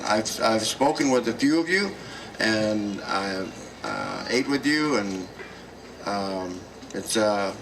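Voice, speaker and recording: A man addresses a gathering in slow, halting speech full of pauses and fillers. He is picked up from a distance, with clear noise around him at an outdoor event. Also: medium pitch. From South Dakota, United States. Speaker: speaker at a gathering.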